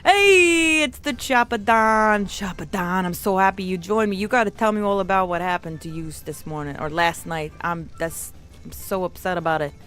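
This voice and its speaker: A woman's voice: fairly low pitch, a little fast, close to an everyday voice. Woman putting on a playful New mafia don lady. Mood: gossipy, conspiratorial. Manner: animated, playful, rambling. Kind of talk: role-play live stream chat. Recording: music playing behind the voice. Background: music.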